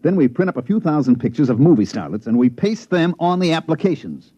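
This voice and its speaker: An actor in a 1950s radio drama playing a smooth-talking male con man who also narrates the story, on a dull old radio recording. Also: on the high side, sing-song, fast talker, mellow. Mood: scheming.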